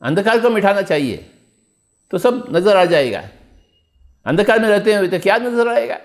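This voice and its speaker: High-pitched male spiritual teacher. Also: big pitch swings, moderate pace. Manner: exhorting, repeats phrases and asks rhetorical questions. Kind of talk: spiritual discourse to followers.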